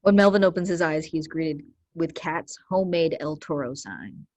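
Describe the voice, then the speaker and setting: A woman reading stage directions as scripted narration, measured and declarative. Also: deep voice, a little mellow.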